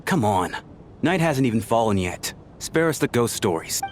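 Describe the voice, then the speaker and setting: A man's voice: medium pitch, sing-song, normal speed, a little hiss or hum, lightly acted. An actor voicing a blunt, skeptical male bystander in a game cutscene. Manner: blunt, dismissive.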